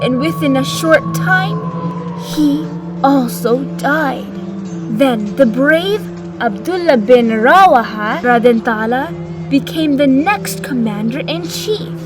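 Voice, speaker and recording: A woman with a very high voice narrates a children's story. She reads slowly and deliberately, in simple measured phrases. Soft music plays underneath. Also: lively intonation. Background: background music.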